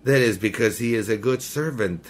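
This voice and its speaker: An actor playing a menacing male mystic villain in a 1930s-style radio drama, courtly and slow, then threatening. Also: mid-range voice, a little hiss or hum, natural voice. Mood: suave.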